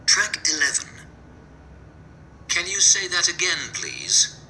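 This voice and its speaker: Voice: mid-range voice, slow pace, pushed and bright, slight background noise. A speaker reading track numbers and lines for a language-course recording. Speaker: track announcer and dialogue reader. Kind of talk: scripted coursebook audio.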